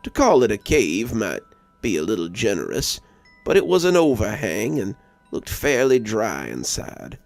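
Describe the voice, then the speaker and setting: A man's voice: lowish voice, huge pitch swings, measured pace, gravelly, faint music behind. A man reading a scripted story as a dramatic narrator.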